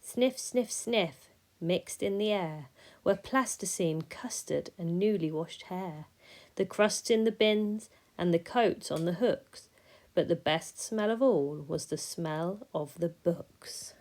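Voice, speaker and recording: A woman reading a rhyming children's story aloud in an expressive, measured way, sometimes dropping soft. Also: lowish voice. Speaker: storybook reader.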